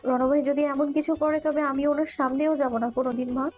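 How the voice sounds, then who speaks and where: A woman's voice: high-pitched, fairly even intonation, a little fast, darkish tone, lightly acted. A woman playing a trusting, lovestruck young woman in an audio love story. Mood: earnest, resolute. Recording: dull lo-fi voice, music behind.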